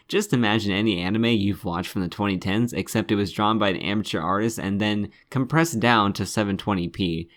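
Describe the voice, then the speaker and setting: A man delivering scripted game review commentary in a scornful, sardonic manner, swearing freely. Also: on the low side.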